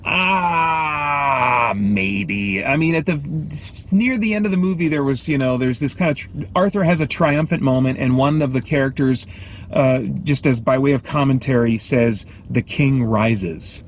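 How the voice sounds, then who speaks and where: A middle-aged American man giving a casual off-the-cuff review, thinking aloud in a halting, self-interrupting way. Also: medium pitch. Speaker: movie reviewer and host. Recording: clear background noise, ambient sound.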